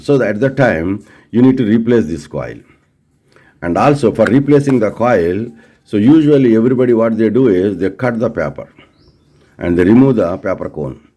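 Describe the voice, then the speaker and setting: Low, mellow Indian man teaching. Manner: addresses listeners directly, repeats phrases, asks rhetorical questions. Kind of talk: informal hands-on technical explanation.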